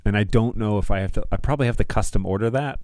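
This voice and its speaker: A man's voice: fairly low pitch, racing, darkish tone, no echo. A middle-aged man hosting a livestream, chatting informally and rambling as he thinks aloud while browsing. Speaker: livestream host.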